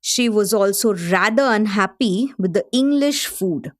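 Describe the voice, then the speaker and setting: Woman acting a lively Indian literary hostess. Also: mid-range voice, unhurried, natural voice. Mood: engaged. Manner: engaged, articulate storytelling. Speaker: host. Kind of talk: radio play, scripted presenting.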